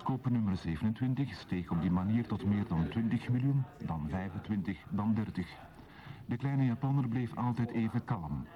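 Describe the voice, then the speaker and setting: A man's voice: on the low side, expressive, normal speed, lightly acted. A man narrating in a formal, scripted reporting style for a radio drama. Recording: dull lo-fi sound, crowd murmur.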